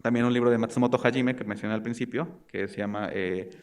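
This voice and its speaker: A man's voice: medium pitch, steady tone, a little mellow. A young man with a Mexican accent giving an academic research presentation, speaking hesitantly and often searching for words. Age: young adult. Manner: hesitant, halting delivery with searching pauses. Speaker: graduate student presenting research.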